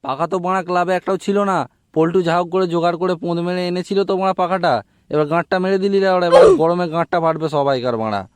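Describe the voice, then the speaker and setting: A man's voice: high voice, very fast, clean tone, no room sound, shouting, natural voice. A man playing a crude, mischievous older member of a cartoon club gang, mocking in manner. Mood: annoyed. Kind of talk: comedy cartoon dialogue.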